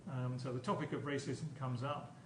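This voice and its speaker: Male professor, hesitant lecture delivery with repeated words, mid-pitched, in an echoey room. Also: fairly even intonation, moderate pace. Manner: hesitant, repeats words and phrases while explaining. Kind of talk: academic conference lecture. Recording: echoey room, distant mic, background noise.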